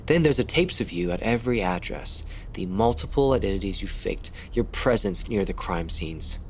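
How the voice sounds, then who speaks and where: A man playing a determined, tough police detective in an audio drama, firm, deliberate and confrontational. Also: fairly low pitch, lively intonation, a little hiss or hum. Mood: accusing.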